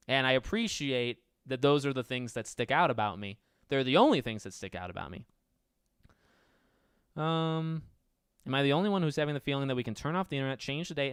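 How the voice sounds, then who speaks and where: Raspy-voiced man chatting on a livestream. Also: mid-range voice, a bit bright, no room sound, clean studio sound. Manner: rambling, self-correcting, answers chat questions aloud. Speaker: livestream host reading viewer questions. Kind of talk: casual livestream Q&A chat.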